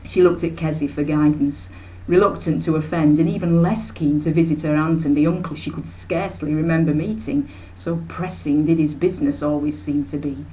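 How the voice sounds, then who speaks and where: A woman, reading a novel aloud in expressive narration. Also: sits low, normal speed, rough recording. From Yorkshire, England. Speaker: author reading own novel. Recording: noticeable background noise, webcam microphone.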